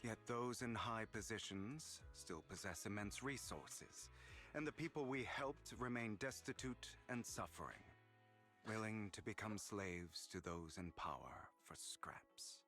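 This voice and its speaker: An actor playing a proud, scheming young gentleman thief in a video game, speaking in formal, eloquent lines with dramatic delivery. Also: fairly low pitch, animated, unhurried, faint music behind. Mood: earnest, reflective.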